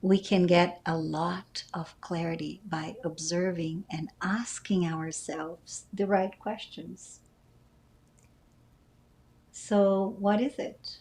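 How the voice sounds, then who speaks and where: A woman leading a guided meditation as its teacher, speaking gently and deliberately with frequent pauses. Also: fairly low pitch, steady tone. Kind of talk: guided meditation, calm instruction.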